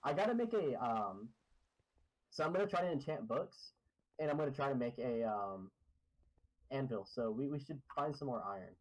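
A man's voice: medium pitch, moderate pace, long pauses, rough recording. A young man chatting casually and joking while playing a block-building game with a friend over voice chat. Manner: joking, excitable, teasing. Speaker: Minecraft player and channel host. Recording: faint keyboard clicks behind.

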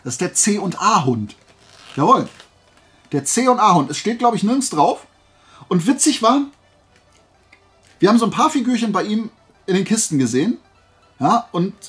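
A man's voice: high voice, big pitch swings, moderate pace, pauses often, fairly bright tone, soft background music. A man chatting casually and unscripted to viewers as a video host, enthusiastic, colloquial and rambling. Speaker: video host showing collectible finds.

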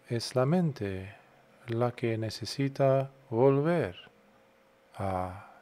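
A man guiding a meditation as a monk would, unhurried, in short phrases separated by long pauses. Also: medium pitch, darkish tone. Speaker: Buddhist monk, meditation guide. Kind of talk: guided meditation talk.

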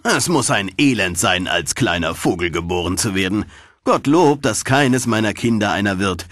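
A man's voice: fairly low pitch, animated, moderate pace, fairly bright tone, no echo, clean studio sound. A man voicing a stern, scornful, self-satisfied male mole in a fairy tale.

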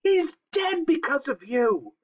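High-pitched chipmunk, acted by a storyteller, anxious, fretful, hissing, animal storybook. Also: sing-song, takes it slowly, heavily acted. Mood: frightened, accusing.

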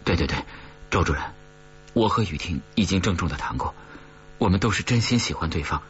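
Male reader as a flustered young man, pleading, stammering, animated pitch, audiobook story, muffled recording. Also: brisk. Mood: nervous, placating. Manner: stammering, earnest, deferential. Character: nervous, eager young boyfriend explaining himself. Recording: dull recording with faint hum.

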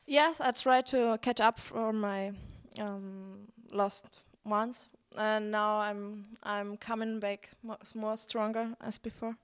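Slow, hesitant young woman player. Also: mid-range voice, fairly even intonation, smooth. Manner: hesitant, searching for words. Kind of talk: post-match interview. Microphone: handheld mic held by interviewer.

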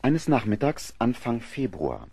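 A man narrating a German radio play from a script, in a husky voice. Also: medium pitch, wildly swooping pitch, unhurried, dark tone, no room sound, faint music behind.

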